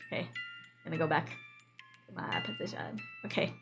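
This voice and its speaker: A woman's voice: low voice, big pitch swings, moderate pace, creaky. A woman streamer reading a visual novel aloud in a playful, dramatic way, breaking off for casual asides. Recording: music behind the voice. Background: game background music.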